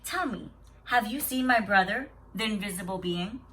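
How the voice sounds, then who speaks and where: Woman reading as a bright-voiced, gentle wise sister. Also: mid-range voice, lively intonation, moderate pace, recorded from a distance, a little hiss or hum. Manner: gentle, probing, full of wonder.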